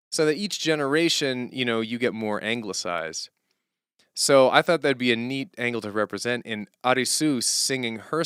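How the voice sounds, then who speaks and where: A man chatting casually and unscripted as a streamer and songwriter, animated and rambling as he thinks aloud and interrupts himself. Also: fairly low pitch, smooth, no echo, clean studio sound. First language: English as main language, German heard at home.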